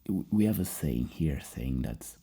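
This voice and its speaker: Super low mellow guy doing soft asmr therapist talk, kinda rambling and hesitant. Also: very animated, a little slow, gravelly, breathy edge. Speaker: ASMR performer playing a psychologist.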